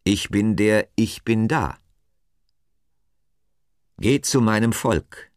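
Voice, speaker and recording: A man's voice: on the low side, takes it slowly, dry recording, clean studio sound, pitched above the performer's own voice. A man voicing God as a stern, commanding deity in a Bible story, speaking solemnly and emphatically. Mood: firm, assuring.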